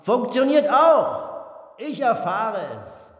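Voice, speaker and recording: A man playing a pompous, menacing Nazi propaganda minister in a German radio play, theatrical, swinging from hushed to booming. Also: expressive, slow, exaggerated voice. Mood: menacingly casual. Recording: long hall reverb.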